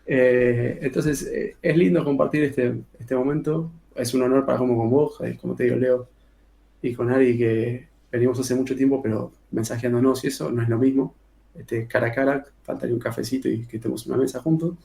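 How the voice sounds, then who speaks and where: Gravelly-voiced Argentine man, casual. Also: mid-range voice, fairly even intonation, brisk, dark tone. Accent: Rioplatense Spanish. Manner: colloquial, many filler sounds and restarts. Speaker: author guest. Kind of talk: casual interview talk.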